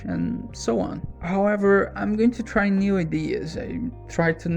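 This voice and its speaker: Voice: mid-range voice, creaky, warm tone. A speaker from Brazil with a Brazilian accent and Portuguese as a first language, narrating a personal vlog from a script as a language learner.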